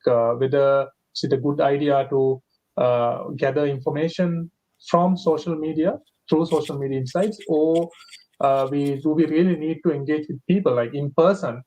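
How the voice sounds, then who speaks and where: A man hosts an online session, pausing often and filling gaps with "uh" and "like". His pitch sits in the middle range for a man. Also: fairly even intonation, mellow, low-quality recording. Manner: hesitant, many fillers. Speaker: host. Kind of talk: webinar moderation.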